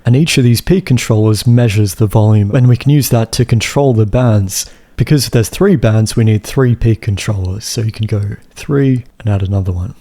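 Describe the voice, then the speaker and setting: A man giving a casual, step-by-step instructional narration, like a software tutorial host, with some dry humour. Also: on the low side, moderate pace. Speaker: music production tutorial host. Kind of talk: instructional screen-recording narration.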